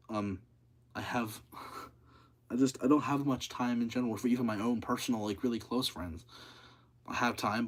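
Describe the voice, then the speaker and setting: A seventeen-year-old young man chatting casually on a livestream, speaking in a monotonous voice and rambling with lots of fillers and repeats. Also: mid-range voice.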